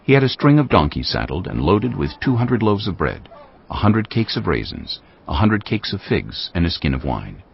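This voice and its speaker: A man's voice: low voice, big pitch swings, normal speed, gravelly. A man reading scripted scripture as the narrator of an audio Bible. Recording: dull lo-fi audio with noise.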